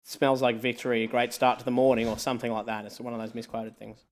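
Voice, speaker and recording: A man's voice: medium pitch, little pitch movement, fast. A man born in Scotland hosting a live online quiz, joking with his co-host and laughing often. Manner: jokey, laughing often, trailing off quietly.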